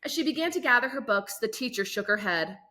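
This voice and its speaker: A woman reading a children's book aloud as a host, with expressive, enunciated storytelling and emphatic phrasing. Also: fairly high pitch, quick pace.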